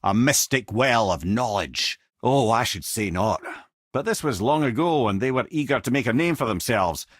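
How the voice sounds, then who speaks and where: A man's voice: mid-range voice, lively intonation, moderate pace, no echo, clean studio sound, natural voice. A man with a Scottish accent voicing a witty, worldly-wise reanimated head, an old storyteller in a video game who talks in wry, eloquent, old-fashioned turns of phrase. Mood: wry, knowing.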